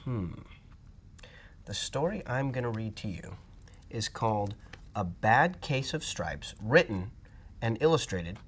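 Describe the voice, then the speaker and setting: A man reading a children's storybook aloud in an expressive, dramatic way. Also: fairly low pitch, slow, pauses often, a little hiss or hum. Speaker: storybook reader. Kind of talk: children's read-aloud narration.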